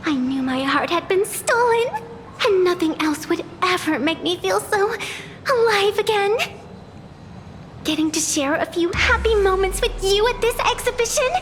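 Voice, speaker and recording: A woman's voice: very high-pitched, measured pace, faint music behind. A woman voicing a sweet-talking young fox fangirl in a video game, with a gushing, theatrical manner. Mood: gushing flattery.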